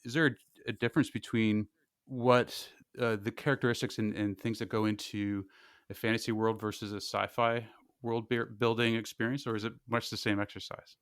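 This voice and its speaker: Low-voiced man interviewing casually, dry close sound. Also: level tone, moderate pace, clear, resonant tone, no echo. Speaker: podcast interviewer.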